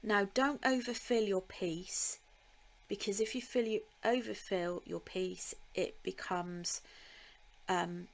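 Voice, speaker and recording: This is a woman teaching a craft on a live stream, talking in an unhurried, friendly way. Her pitch sits in a middle range, and she often pauses with an um as she works. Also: fairly even intonation, vocal fry. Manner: chatty and slow, with laughs and ums, talking to viewers by name. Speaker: beading tutor.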